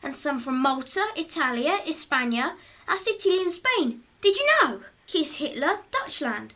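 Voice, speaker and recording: A woman acting in a radio drama as an eager, excitable, chattering young schoolboy. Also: high-pitched, moderate pace, exaggerated voice. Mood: excited, eager. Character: eager, chattering young schoolboy son, keen stamp collector.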